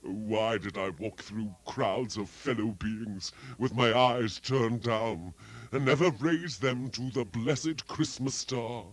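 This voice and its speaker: A man voicing the chained ghost of a dead miser in a dramatic reading, with a deep, hollow, moaning delivery. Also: takes it slowly. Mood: anguished. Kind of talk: dramatic reading of a classic tale.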